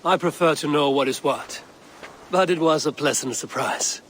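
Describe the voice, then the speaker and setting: A man playing a blunt, confident Viking warrior leader in a video game, speaking in a terse, steady, plain-spoken way. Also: fairly high pitch, normal speed, slight background noise, lightly acted. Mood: frank. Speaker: Viking clan leader character. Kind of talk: dramatic video game acting.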